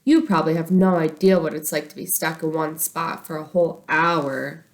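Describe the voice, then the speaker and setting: A woman's voice: sits low, fairly even intonation, normal speed. A woman reading the part of an earnest, imaginative schoolboy in a children's story, caring and easily exasperated, with a dramatic manner.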